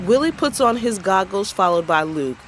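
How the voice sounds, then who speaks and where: A man's voice: high voice, lively intonation, normal speed, fairly bright tone, a little hiss or hum. A man narrating stage directions for a play in a scripted reading style.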